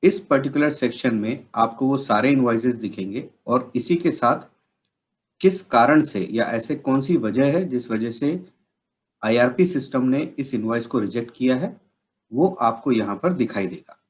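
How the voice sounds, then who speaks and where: A man with an Indian accent explains software steps as a trainer, in measured, instructional phrasing. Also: medium pitch, lively intonation. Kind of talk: instructional software tutorial narration.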